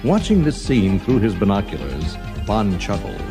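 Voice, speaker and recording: Low-voiced man narrating an adventure storybook. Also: wildly swooping pitch, normal speed. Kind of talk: scripted children's adventure narration. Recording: 1980s storybook cassette with music underneath. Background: background music.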